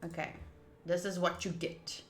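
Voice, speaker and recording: A woman's voice: lowish voice, level tone, measured pace, roomy sound, music in the background. A woman hosting a game live stream, chatting casually in a playful, teasing and informal way. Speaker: live streamer hosting a visual novel playthrough. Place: indoors, home room.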